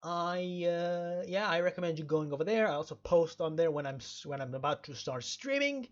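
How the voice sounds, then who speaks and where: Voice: medium pitch, moderate pace, muffled recording. A game streamer giving rambling let's play commentary in an emphatic, mock-stern way, often repeating phrases. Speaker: video game streamer and host.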